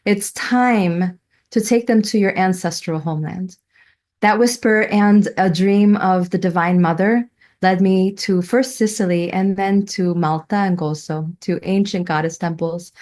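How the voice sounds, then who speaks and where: A middle-aged woman giving a prepared personal presentation, telling her story in a reverent, deliberate way. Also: fairly low pitch, darkish tone. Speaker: student presenting a thesis.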